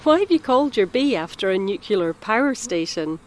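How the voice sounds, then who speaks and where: A woman playing a practical, kindly council carer in an audio drama, speaking in a gentle, coaxing, matter-of-fact way. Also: mid-range voice, big pitch swings, normal speed, a little hiss or hum, natural voice. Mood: concerned, puzzled.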